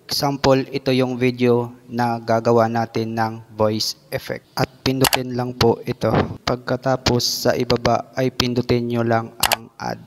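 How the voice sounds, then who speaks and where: A man with a Filipino accent giving a polite, step-by-step app tutorial voice-over as the host. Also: mid-range voice. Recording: noisy recording with clicks.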